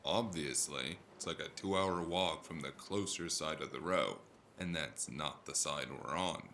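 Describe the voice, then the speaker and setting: Man acting a gravelly, deep, grumbling noir investigator. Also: unhurried, pushed and bright, slight background noise, exaggerated voice. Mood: weary grumbling. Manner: grumbling, dry, sarcastic. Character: tall, weary, cranky contract investigator in cyberpunk noir. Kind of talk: audio drama dialogue.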